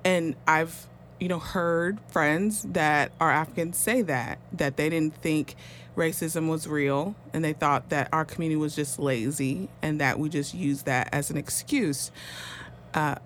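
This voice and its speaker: A man, a councilman, giving an earnest, measured answer on a panel. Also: a little high, fairly bright tone, slight background noise. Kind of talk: panel discussion.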